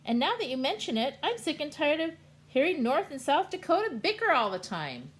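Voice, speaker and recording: A woman voicing Nebraska, a kind, excitable male state in a storybook, who shrieks with delight. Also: high-pitched, brisk, voice pushed up. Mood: excited.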